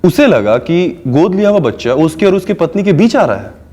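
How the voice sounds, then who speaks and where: A man's voice: fairly high pitch, sing-song, a little fast, warm tone. A man playing an incredulous, questioning character in a TV crime drama, speaking emphatically.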